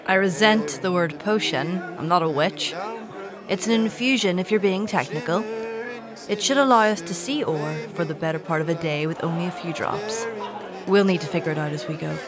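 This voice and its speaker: Actress as a dry, low-pitched scholarly alchemist. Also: female voice, moderate pace, close to an everyday voice. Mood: dry, technical. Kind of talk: audio drama dialogue. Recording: busy crowd and music behind.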